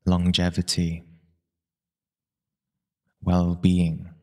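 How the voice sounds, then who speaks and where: Very slow, deep-voiced male meditation guide. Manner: calm, unhurried, long pauses between short phrases.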